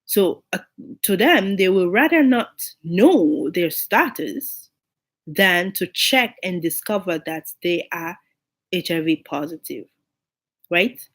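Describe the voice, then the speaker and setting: A woman with a cold and a hoarse, unclear voice, giving an informal sermon to her online listeners and repeating key phrases for emphasis. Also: lowish voice, expressive, slow pace, fairly bright tone. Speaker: online preacher.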